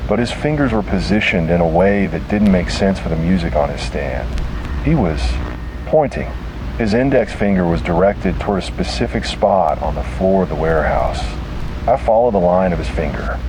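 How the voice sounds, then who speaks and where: A man's voice: deep voice, background noise. A man narrating a scripted first-person detective horror story.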